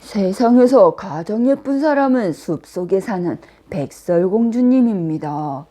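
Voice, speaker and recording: A woman voicing a solemn magic mirror in a fairy tale, answering in a measured, formal way. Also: medium pitch, lively intonation, warm tone.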